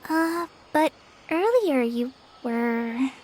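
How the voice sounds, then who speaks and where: A woman's voice: high voice, lively intonation, drawn-out words, a little mellow, slight background noise, full character voice. A woman voicing an earnest, shy teenage girl forest ranger in a video game, speaking politely and a little hesitantly. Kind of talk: video game cutscene acting.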